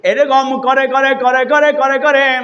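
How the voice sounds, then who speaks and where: A man preaching as an Islamic storyteller, impassioned, with drawn-out calls and repeated phrases. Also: very high-pitched, flat delivery, bright, forceful tone. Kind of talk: storytelling sermon. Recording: dull, lo-fi audio.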